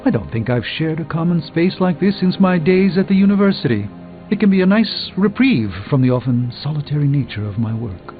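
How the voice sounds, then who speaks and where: Casting: haughty middle-aged sorcerer hero, slightly high voice, formal and sardonic game dialogue, thin sound under music. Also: male voice, sing-song, a little fast, narrow, tinny audio. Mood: composed, formal. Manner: formal, pompous, dryly sardonic. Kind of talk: video game voice acting. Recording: thin band-limited audio, loud music behind.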